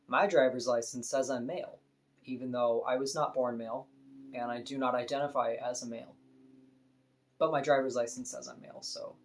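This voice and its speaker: A woman with a deep voice, talking frankly and casually to camera in an opinion vlog, now and then laughing softly. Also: a little hiss or hum. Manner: frank, conversational, with soft laughs. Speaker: vlogger.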